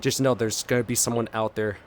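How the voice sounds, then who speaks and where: A man's voice: mid-range voice, fairly even intonation, fairly quick, a little hiss or hum. A man giving casual, jokey gaming reaction commentary, talking back at the characters. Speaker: reactor, video host.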